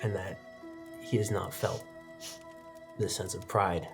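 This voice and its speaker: A man's voice: sits low, flat delivery, slow, creaky, over music. A man running a tabletop game, narrating dramatically with casual, improvised asides. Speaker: game master.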